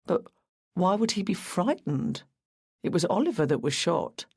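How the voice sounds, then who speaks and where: Woman reading as a measured male detective, plain questions, lowish voice, dry sound. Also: big pitch swings, darkish tone, slightly rough, dry recording, exaggerated voice. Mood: steady. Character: steady male police detective.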